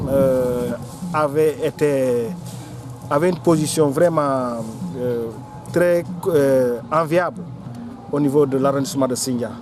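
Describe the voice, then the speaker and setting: A Senegalese man gives an interview in a mid-pitched voice. He speaks haltingly, often repeating small words while he looks for the next phrase. Music is clearly audible behind him. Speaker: local official or forum organiser. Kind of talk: interview answer recounting local history.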